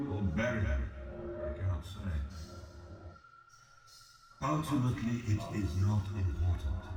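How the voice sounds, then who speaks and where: A man voicing an aloof, imperious ancient god in a video game, speaking in a solemn, formal and deliberate way. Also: fairly low pitch. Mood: proud, grave. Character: ancient exiled male god-emperor, aloof, measured, imperious. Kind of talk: scripted video game dialogue. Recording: echoing, distant voice over music.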